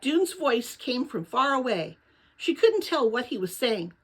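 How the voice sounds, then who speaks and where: A woman reading a children's novel aloud as narrator, with dramatic, expressive storytelling. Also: on the high side, a little slow, husky, poor audio.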